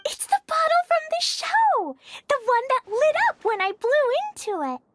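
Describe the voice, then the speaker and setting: Very high-pitched bubbly little girl. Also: very animated, moderate pace, dry recording, studio quality. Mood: excited, curious. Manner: eager, childlike, polite. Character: bubbly, wide-eyed little girl, polite and imaginative. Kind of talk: animated game cutscene acting.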